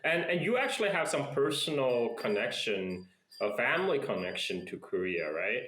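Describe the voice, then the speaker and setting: A man's voice: mid-range voice, big pitch swings, moderate pace, a bit bright. A man in his forties hosting a podcast interview over a video call, prompting his guest with leading follow-up questions. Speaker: podcast host. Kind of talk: casual interview chat. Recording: roomy video call audio.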